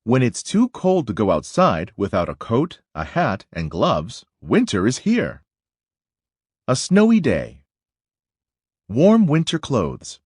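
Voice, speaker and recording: Slow, very animated man reading aloud. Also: medium pitch, breathy edge, no room sound, studio quality. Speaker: read-aloud narrator. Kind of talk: children's nonfiction book read aloud.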